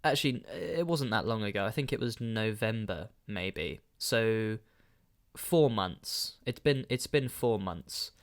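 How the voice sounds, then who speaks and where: A man's voice: fairly low pitch, lively intonation, slow pace. A young man from London with a southern British London accent, hosting a casual solo podcast in a rambling, self-correcting, chatty way. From London, England. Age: young adult. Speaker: podcast host.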